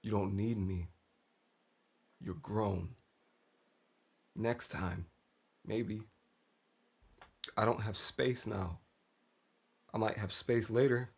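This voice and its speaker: An actor playing a tormented, resigned killer and estranged brother in a stage drama, speaking haltingly in a gravelly, husky voice. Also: male voice, on the low side, steady tone, full character voice. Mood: agitated. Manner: slow, halting, haunted.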